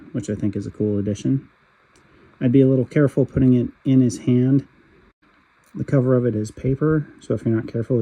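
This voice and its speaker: A man narrating a toy figure review, a little raspy from a cold, in a steady, matter-of-fact way. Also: medium pitch, low-quality recording. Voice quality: husky. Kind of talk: calm voice-over product review.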